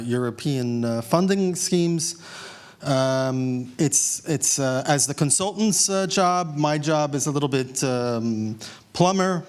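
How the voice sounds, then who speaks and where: A man with a New York accent presents at a conference as head of a grant writing unit, hesitant and full of filler sounds. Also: mid-range voice. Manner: halting, many filler sounds.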